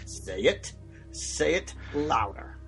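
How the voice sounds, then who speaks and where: A man's voice: fairly high pitch, very animated, takes it slowly, vocal fry, fairly bright tone, breathy edge, faint music behind, exaggerated voice. A man playing a fervent, domineering preacher in a parody sketch, taunting and demanding confession in a theatrical way. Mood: sternly righteous. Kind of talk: movie-parody sketch acting.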